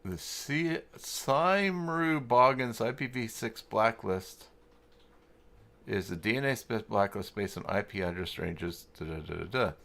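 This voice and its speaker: A man's voice: fairly low pitch, measured pace, vocal fry, a bit bright. A man hosting a casual livestream, thinking aloud in a rambling, muttering way. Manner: rambling, muttering through thoughts. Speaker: livestream host.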